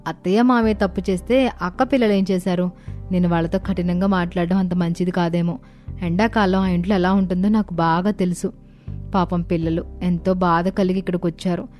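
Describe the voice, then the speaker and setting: A woman's voice: fairly low pitch, fairly even intonation, brisk, darkish tone, soft background music, natural voice. A woman playing a proud, emphatic young aunt in a cartoon moral story. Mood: regretful. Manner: stern, emphatic, scolding. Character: proud, indignant young aunt, quick to soften.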